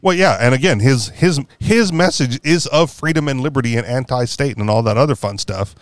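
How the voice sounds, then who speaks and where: A man's voice: medium pitch, brisk, no room sound. A man hosting a podcast in casual political chat, rambling and correcting himself with a wry tone. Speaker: podcast host.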